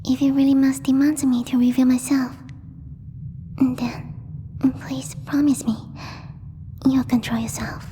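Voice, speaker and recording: A woman voicing a gentle, timid alien girl in a soft, breathy ASMR roleplay, speaking formally and haltingly as she searches for words. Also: high voice, level tone. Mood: nervous, hesitant. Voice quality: breathy, whispery. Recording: long reverb, faint background noise.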